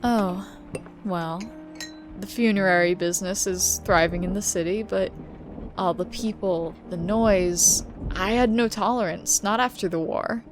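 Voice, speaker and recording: An actress playing a world-weary, guarded but caring woman in an audio drama, speaking in a measured, grave way. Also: medium pitch, soft background music, close to an everyday voice. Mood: weary, reflective.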